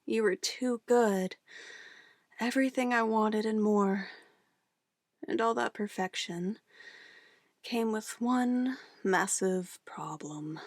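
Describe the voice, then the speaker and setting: A woman's voice: mid-range voice, slow, long pauses, no echo, studio quality. A woman playing an obsessive, possessive witch in an ASMR roleplay, sweetly menacing and cooing in an intimate, theatrical way. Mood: obsessive, adoring. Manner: intimate, cooing, theatrical, shifting between soft whispers and firm commands.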